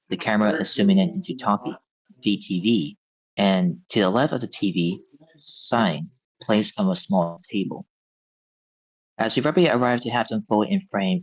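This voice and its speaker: A man narrating stage directions from a script at a table read. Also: low voice. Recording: dull, lo-fi audio.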